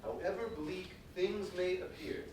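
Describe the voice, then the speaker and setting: A man's voice: sits high, measured pace. A man playing a storyteller who voices a generous, forgiving father in a theatrical staged reading. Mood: measured, tender. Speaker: narrator, also voicing the father. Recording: very echoey stage, distant mic, background noise.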